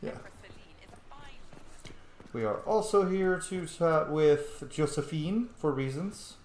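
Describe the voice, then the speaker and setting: A man's voice: a little high, takes it slowly, reverberant, noisy background. A man hosting a playthrough with casual, informal commentary, chatty asides and some mild swearing. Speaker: gaming host.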